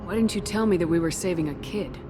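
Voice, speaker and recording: Woman acting as steady commander, reverberant. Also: fairly low pitch, quick pace, lightly acted. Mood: firm, probing. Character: steady, direct female military commander. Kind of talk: video game voice acting. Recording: long reverb, music behind.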